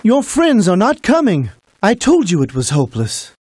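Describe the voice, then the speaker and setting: High-pitched, fretful village man. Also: wildly swooping pitch, moderate pace, a little mellow, a little hiss or hum. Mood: doubtful. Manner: dramatic, alarmed. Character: anxious, gloomy, fatalistic village man. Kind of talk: children's educational game acting.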